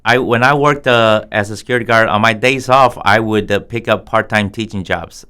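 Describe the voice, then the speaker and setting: A man in his fifties with a Texas drawl and a lowish, smooth, clean voice. He tells earnest stories from his past as a guest in a relaxed interview. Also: a little fast. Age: 50s. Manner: reminiscing, earnest storytelling. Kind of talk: casual interview conversation.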